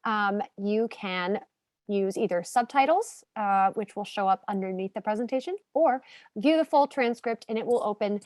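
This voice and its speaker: A woman giving technical support instructions during a webinar in a relaxed, chatty way with many fillers. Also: medium pitch, normal speed, no echo, clean studio sound. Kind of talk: casual instructions.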